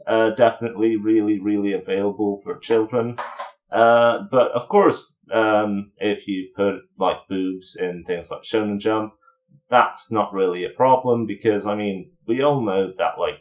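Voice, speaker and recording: Low-voiced man giving a casual review. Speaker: video reviewer.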